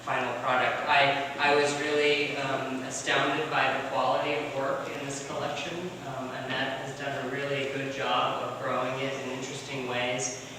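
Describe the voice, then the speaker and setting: A man speaking as guest curator at an exhibition opening, halting with many ums and restarts. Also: medium pitch, little pitch movement. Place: museum gallery event. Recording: echoey room, far from mic, background noise.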